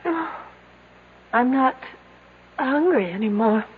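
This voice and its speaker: A woman's voice: high voice, lively intonation, slow pace, slightly rough, exaggerated voice. An actress playing a weak, despairing young woman trapped and fatalistic, speaking faintly in old-time radio drama style. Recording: muffled lo-fi old radio broadcast.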